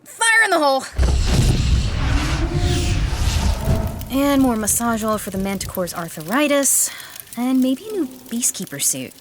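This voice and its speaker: An actress playing an earnest, flustered young female beastkeeper with a nervy, animated delivery in a fantasy audio drama. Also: sits high, moderate pace, very noisy. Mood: playful, cooing.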